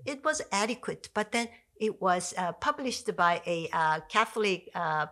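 A woman in her sixties, a native Korean speaker with a Korean accent, explaining language in an animated podcast chat, with many fillers and restarts. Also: medium pitch, measured pace. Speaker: Korean language professor, guest. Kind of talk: conversational explanation. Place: home study with bookshelves. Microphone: podcast mic on stand.

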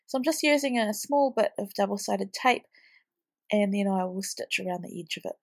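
A woman in her thirties talks through a craft project in a loose, rambling way, full of little ums. Her nose is blocked from a cold, so she sounds snuffly, and a gravelly fry runs through her mid-pitched voice. She sounds worn out, being late in pregnancy. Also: moderate pace. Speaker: scrapbooking vlogger. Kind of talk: casual craft voice-over.

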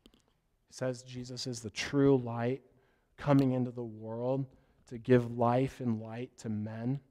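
A man preaching a sermon as pastor, hoarse from a cough, with a scratchy voice and an earnest, rambling way of talking. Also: medium pitch, little pitch movement, takes it slowly, dark tone. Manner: earnest, loses his train of thought and apologizes.

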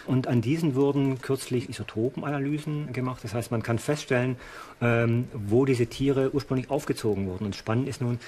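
A man's voice: medium pitch, brisk, warm tone. A man presenting finds as an archaeology expert in a documentary interview.

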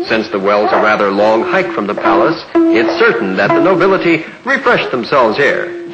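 1950s newsreel man over loud music. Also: mid-range voice, highs cut off. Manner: measured, formal announcer delivery. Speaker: newsreel narrator. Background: loud orchestral music.

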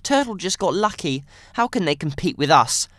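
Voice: mid-range voice, big pitch swings, normal speed, fairly bright tone, no room sound. A performer as an eager young rabbit. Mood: indignant.

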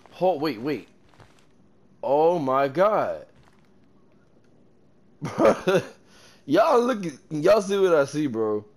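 A young gamer talking excitably through his playthrough, his pitch jumping around a lot as he reacts. His voice sounds a little stuffy from seasonal allergies. He throws in slang and "bro" constantly. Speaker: gaming streamer. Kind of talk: casual gameplay commentary.

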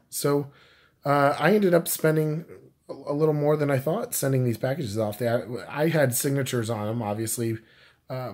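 Husky, rambling man vlogging casually. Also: medium pitch, a little mellow. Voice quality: husky, scratchy. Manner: rambling, full of fillers and restarts. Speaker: YouTube vlogger. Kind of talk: casual talk to camera, explaining postage prices.

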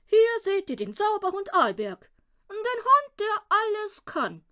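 Female reader as small pompous male conjurer, storybook; high-pitched, grandiose, drawn-out delivery, very animated. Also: exaggerated voice. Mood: showy, boastful. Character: pompous, self-satisfied little male magician showman.

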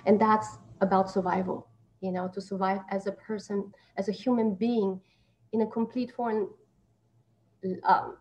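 A woman's voice: medium pitch, little pitch movement, normal speed, a little mellow, rough recording. A woman in her thirties from Austria, born in Taiwan, giving conversational interview answers as an actress. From born in Taiwan, grew up in Austria. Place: small plain room indoors. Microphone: computer mic on a video call. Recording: video call with background noise.

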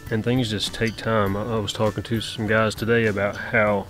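A man in his thirties speaking slowly and thoughtfully outdoors on his homestead, often repeating words. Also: fairly low pitch, level tone, a bit bright, faint music behind. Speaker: homestead vlogger. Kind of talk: casual chat.

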